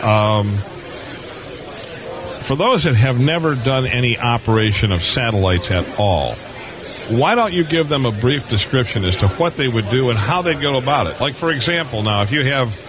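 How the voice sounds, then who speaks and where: A man co-hosting a live show from a convention booth, chatting casually and phrasing his questions in a halting, repetitive way. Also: mid-range voice, lively intonation. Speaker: radio show co-host. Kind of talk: casual live interview chat. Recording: busy crowd noise, live radio broadcast. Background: crowd chatter.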